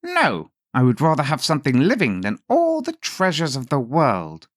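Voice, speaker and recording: A man voicing a comical, gloating, quick-tempered little goblin man in a storybook tale, with theatrical, taunting delivery. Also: mid-range voice, huge pitch swings, no echo, clean studio sound, voice pushed up. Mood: demanding, sly. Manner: theatrical, exclaiming, drawn-out taunts.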